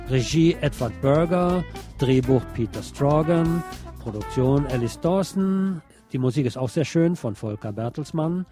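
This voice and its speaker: A man hosting a radio show, reviewing films in a partly scripted, partly conversational way, with opinionated asides to his listeners. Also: mid-range voice. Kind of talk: film review, part scripted narration, part conversational.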